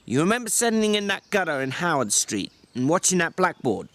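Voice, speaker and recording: A man voicing a small, irritable, bossy drifter in a story reading, scolding in sharp rustic slang, exasperated but protective. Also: on the high side, very animated, a little fast. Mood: weary.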